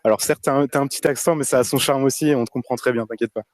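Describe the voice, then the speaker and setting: A man's voice: medium pitch, steady tone, very fast, no echo. A man hosting a casual online audio chat, talking with many hesitations and fillers. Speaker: host of a community audio space.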